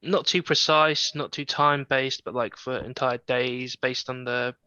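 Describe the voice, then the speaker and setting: Bright-voiced English man, dull lo-fi sound. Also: medium pitch, steady tone, a little slow, smooth, no echo. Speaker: podcast co-host. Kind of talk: casual podcast chat.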